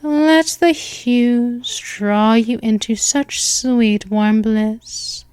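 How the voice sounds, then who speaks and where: A woman's voice: on the high side, drawn-out words, darkish tone, clear, resonant tone. A woman voicing an ancient, seductive female vampire mistress in a hypnosis roleplay, talking in a soothing, coaxing way. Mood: hypnotic.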